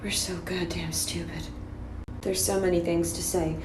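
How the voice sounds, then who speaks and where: A woman's voice: fairly low pitch, little pitch movement, moderate pace, roomy sound, background noise. An American woman ranting to camera in a sarcastic, self-mocking way. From United States. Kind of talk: casual rant to camera.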